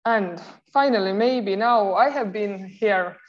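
A woman's voice: mid-range voice, slow pace. A woman in her thirties with an Estonian accent, giving an online university lecture with frequent filler sounds and restarts. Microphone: video call. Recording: muffled video call audio.

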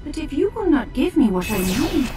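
An actress voicing a sultry, teasing succubus temptress in a game cutscene. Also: female voice, high-pitched, big pitch swings, normal speed, over music. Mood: seductive. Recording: game cutscene with loud score.

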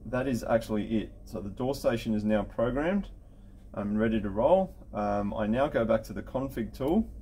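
Male tech instructor, middle-aged, dark relaxed tone, casual and practical step-by-step delivery, light background noise. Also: lowish voice. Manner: informal, matter-of-fact instructional talk. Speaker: intercom installer giving a software setup tutorial. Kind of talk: casual step-by-step screen tutorial. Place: indoor office at a desk with computer.

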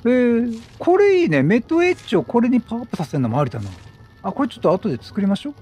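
Animated higher-voiced gamer man, husky edge. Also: very fast. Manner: animated, talkative, exclaims and talks back to the game. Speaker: gaming YouTuber. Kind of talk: chatty video game let's play commentary. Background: game music.

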